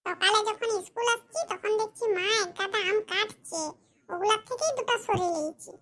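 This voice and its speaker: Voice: extremely high pitch, a little fast, very noisy. A performer voicing a cheeky, boastful schoolchild in a comedy cartoon, talking in a cocky, argumentative, colloquial way. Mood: smug, boastful. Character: cheeky, boastful Bengali schoolchild, dim but sly.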